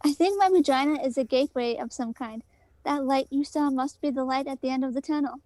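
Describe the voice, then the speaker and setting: A woman's voice: high-pitched, brisk, mellow, no echo, natural voice. A woman playing an insistent, pleading girlfriend in a story read aloud, urgent and coaxing. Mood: insistent, earnest.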